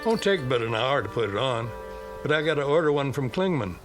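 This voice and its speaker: A man playing a laid-back small-town garage mechanic in a radio drama, folksy and unhurried. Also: mid-range voice, animated, music in the background, natural voice. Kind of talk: radio drama character dialogue.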